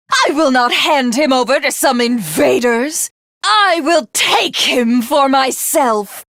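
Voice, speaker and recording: High, haughty, theatrical villainess. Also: female voice, a bit bright, no room sound, clean studio sound, heavily acted. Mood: possessive, manic. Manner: grandiose, condescending, theatrical. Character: haughty, sadistic alien villainess, obsessively lovestruck, self-glorifying. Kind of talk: video game voice acting.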